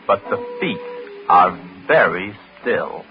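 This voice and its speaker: An actor as the suave, ominous narrator of a 1940s radio thriller, speaking in a theatrical, deliberate way. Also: male voice, low voice, animated, slight background noise, full character voice. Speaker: announcer and narrator. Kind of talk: 1940s radio acting, dramatic scripted narration. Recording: muffled 1940s radio recording, music.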